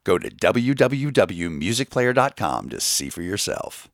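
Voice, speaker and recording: A man's voice: fairly low pitch, sing-song, a little slow, fairly bright tone. A man reading a promotional voice-over as an advert announcer. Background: music.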